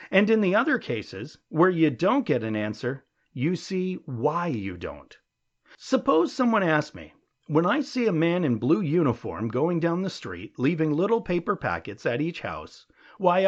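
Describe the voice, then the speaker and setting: Animated higher-voiced man reading, muffled audio. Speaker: audiobook narrator. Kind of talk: scripted audiobook reading of an essay.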